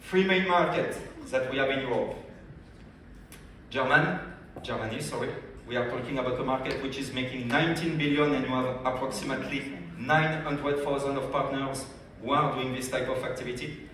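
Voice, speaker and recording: A man's voice: medium pitch, moderate pace, a little hiss or hum. French-accented man in an echoing hall. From France. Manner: energetic, addresses audience directly with questions. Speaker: business development director. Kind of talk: convention training talk. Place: large convention hall. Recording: echoey hall, speaker far from mic.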